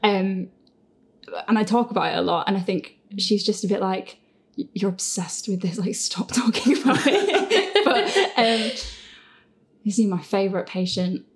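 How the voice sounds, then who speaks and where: A woman's voice: mid-range voice, lively intonation, moderate pace. A woman hosting a casual question and answer chat, giggly, rambling and self-deprecating. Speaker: podcast host, creator and voice actor of the show.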